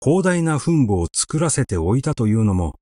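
A man narrating a literary short story in a measured, expressive storytelling manner. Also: mid-range voice, dry recording, clean studio sound. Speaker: audiobook narrator.

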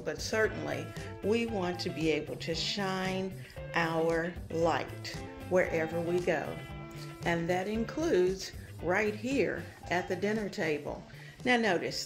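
An older woman hosting a home decor vlog, talking casually and encouragingly as she demonstrates. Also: on the low side.

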